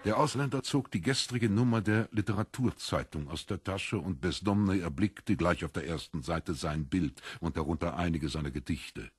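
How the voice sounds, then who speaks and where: A man narrating a literary story from a script in a measured storytelling manner. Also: low-pitched, breathy edge.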